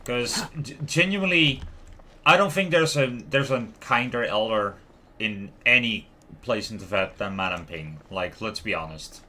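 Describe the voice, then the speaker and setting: A man's voice: lowish voice, animated, unhurried, sharp tone, slight background noise. A man giving casual live gameplay commentary as a streamer, rambling and stumbling over words. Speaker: game streamer.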